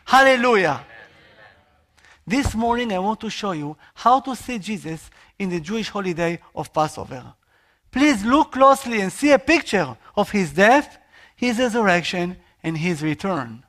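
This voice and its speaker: A man with an Israeli accent preaches a sermon with earnest, expressive delivery. His voice sits notably high for a man. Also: moderate pace. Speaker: missionary.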